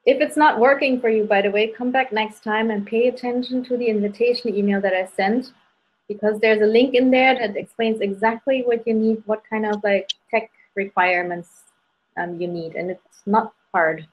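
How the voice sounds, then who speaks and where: A woman from Germany with a German accent, hosting live spirit readings and guiding a meditation over a video call in a relaxed way, often saying "um" and "like". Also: fairly high pitch, normal speed, dull audio. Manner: relaxed, many fillers like "um" and "like". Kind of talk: casual guided meditation and readings.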